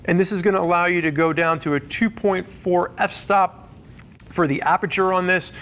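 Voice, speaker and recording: A man's voice: high voice, a bit bright. A man presenting a gear review in a conversational, explanatory way, talking through features as a semi-scripted walkthrough.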